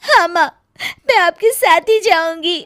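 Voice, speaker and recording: A woman's voice: extremely high pitch, measured pace, fairly bright tone, dry recording, studio quality. A woman playing a young, earnest, pleading Indian daughter-in-law in a cartoon moral story. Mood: tearful.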